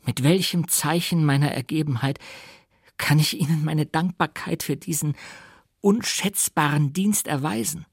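A man plays a grateful nobleman in a storybook reading. He speaks with formal, fervent earnestness and awe, in a husky voice recorded close and dry. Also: fairly high pitch, unhurried, dry recording, exaggerated voice. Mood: fervently grateful. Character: astonished, grateful young nobleman, male, solemnly fervent. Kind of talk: dramatised audiobook reading.

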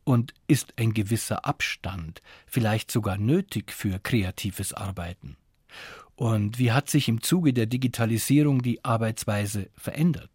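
A man's voice: fairly low pitch, measured pace, a little mellow, husky, no room sound, studio quality. A man presenting and interviewing for radio in scripted narration and questions.

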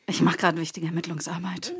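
A woman's voice: fairly low pitch, flat delivery, fairly quick, breathy edge. A woman playing a grumpy, hungover police inspector in a radio play, weary and offhand. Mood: annoyed. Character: hungover, grumpy hard-drinking female police inspector, late thirties. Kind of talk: radio play table read.

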